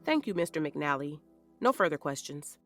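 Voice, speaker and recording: A woman's voice: low-pitched, very animated, moderate pace, a bit bright, soft background music. An actress playing a composed female defense attorney who questions a witness with poised, formal delivery.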